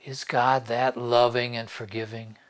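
A man playing a bitter, sardonic convict awaiting execution in a dramatic monologue, taunting and hushed, with long pauses. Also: mid-range voice, level tone, lightly acted. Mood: bitter, questioning.

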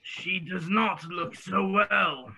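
A man's voice: high-pitched, slow. A middle-aged man playing a sly, mysterious stranger in a radio play, drawing out his words over a video call. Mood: sly, coaxing. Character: sly limping stranger trading magic beans. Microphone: headset on a video call. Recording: very noisy video call audio.

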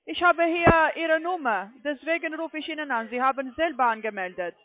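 High-voiced woman calling on tinny phone. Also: animated, moderate pace. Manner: insistent, non-native phrasing. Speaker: cold-calling call-centre agent. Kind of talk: phone sales call. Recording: narrow, tinny phone line.

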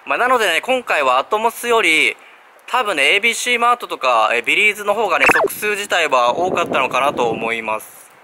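A man in his thirties filming himself on a walk, chatting in a relaxed way with many fillers. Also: on the high side, brisk. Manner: relaxed, filler-heavy narration with frequent "ne" tags. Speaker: sneaker vlogger. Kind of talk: casual walk-and-talk vlog. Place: outdoors on city streets, walking. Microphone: handheld camera at arm's length. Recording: street noise behind.

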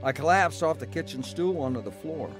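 An actor playing a stubborn, troubled husband in a radio drama, plain-spoken and earnest. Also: male voice, fairly high pitch, expressive, fairly quick, over music, lightly acted. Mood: earnest recounting. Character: stubborn, troubled husband recounting his conversion. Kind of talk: radio drama narration and dialogue.

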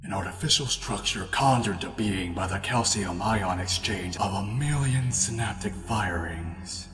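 Casting: male actor as paranoid, philosophical scientist, low slow delivery, echoey sound under music, fan comic dub. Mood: brooding. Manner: slow, musing, ominous. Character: haunted, paranoid scientist man, brooding and philosophical.

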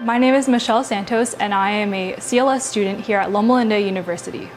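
A woman's voice: mid-range voice, fast, over music. A young woman answering interview questions as a student in a university lab, upbeat and assured.

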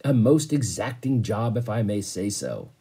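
A man voicing a calm, dignified storybook butler with polite, formal manners. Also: lowish voice, moderate pace, breathy edge, exaggerated voice. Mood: proud, courteous.

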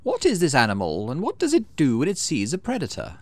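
Casting: man playing a strict woman engineer-teacher in a game, brisk, corrective, somewhat higher voice. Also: huge pitch swings. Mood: stern, quizzing. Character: exacting female chief engineer teaching class.

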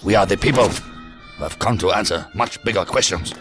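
Man playing low-voiced, menacing intruder. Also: normal speed, music in the background, close to an everyday voice. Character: menacing male intruder interrogating a captive. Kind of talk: audio drama acting.

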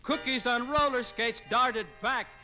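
A man's voice: extremely high pitch, unhurried, pushed and bright, faint music behind. An American man reciting a rhymed tall tale in a theatrical, rhythmic way for 1950s radio. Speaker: radio narrator.

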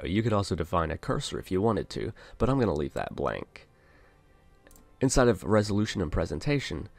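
A man narrating a casual software tutorial, explaining things step by step as an instructor. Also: low-pitched, vocal fry.

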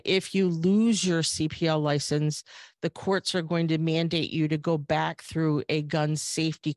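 A woman's voice: fairly low pitch, no room sound. A woman, a firearms instructor giving a conversational webinar talk on a video call, with frequent ums and uhs. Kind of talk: webinar presentation. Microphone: video call webcam.

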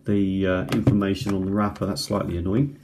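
A middle-aged man giving a casual, unscripted hands-on product review in an unhurried, rambling way. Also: sits low, flat delivery. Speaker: amateur cassette tape reviewer. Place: indoors at a kitchen table. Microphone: tablet's built-in mic at close range. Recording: noisy home tablet recording with clicks.

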